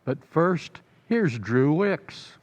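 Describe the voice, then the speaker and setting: A man's voice: fairly high pitch, expressive, drawn-out words, darkish tone. A man announcing an old-time radio show and its advertisement in a formal, emphatic style. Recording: dull, long-reverb recording.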